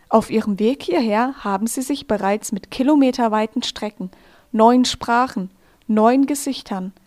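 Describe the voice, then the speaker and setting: A woman hosting a radio feature from a studio, telling the story in calm, measured, scripted narration. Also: fairly high pitch. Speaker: radio host.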